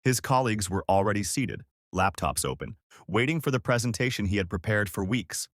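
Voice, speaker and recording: A man's voice: lowish voice, animated, a little fast, fairly bright tone, no echo, studio quality. A man narrating a scripted story as a storyteller.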